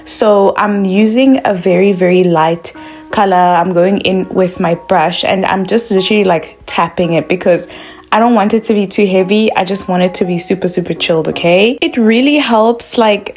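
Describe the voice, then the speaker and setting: A woman's voice: medium pitch, normal speed, a little mellow, soft background music. A young woman from South Africa, a makeup vlogger talking through a tutorial in a bubbly, chatty way, full of "like" fillers.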